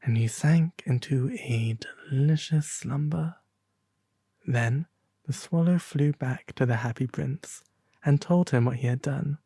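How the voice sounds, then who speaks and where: A performer voicing a refined owl demon prince, reading a storybook tale softly and gently in ASMR style. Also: mid-range voice, breathy edge, no echo. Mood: gentle, soothing. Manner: slow, gentle, theatrical storytelling.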